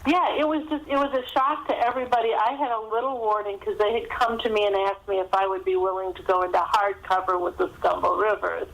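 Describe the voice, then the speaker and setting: Female guest author, mid-pitched, chatting in interview style down a phone line. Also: fairly even intonation, fast talker, gravelly, few pauses, a bit bright. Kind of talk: conversational interview answers. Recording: thin phone-line sound.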